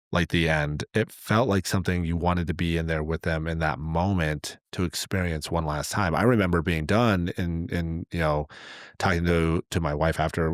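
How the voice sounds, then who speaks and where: A man's voice: low voice, no room sound, clean studio sound. A man co-hosting a podcast in casual, reflective chat, earnest and often repeating his words as he goes. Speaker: podcast co-host.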